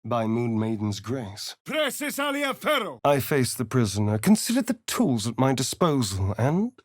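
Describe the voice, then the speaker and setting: Theatrical male vampire, fantasy game companion, sardonic, suave, animated mid-pitched voice, dry studio sound. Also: moderate pace, dry recording. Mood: incanting, intense. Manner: theatrical, arch, dramatic delivery. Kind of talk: fantasy video game voice acting.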